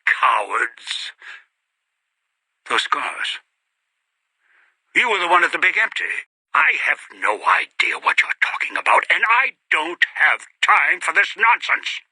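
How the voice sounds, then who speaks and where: An actor playing a bitter, obsessive, paranoid old man in a video game, with a strained raspy voice and theatrical delivery. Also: fairly high pitch, huge pitch swings, slow, pauses often, bright, forceful tone, no echo, full character voice. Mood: hostile, suspicious. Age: older. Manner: theatrical, muttering to himself, swinging into desperate outbursts. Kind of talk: dramatic video game voice acting.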